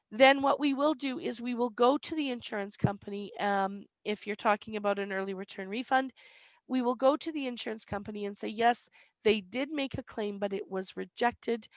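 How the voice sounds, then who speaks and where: A woman insurance broker answering questions in a webinar, explaining plainly with frequent "um" and a slightly squeaky voice. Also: gravelly, dry recording, low-quality recording.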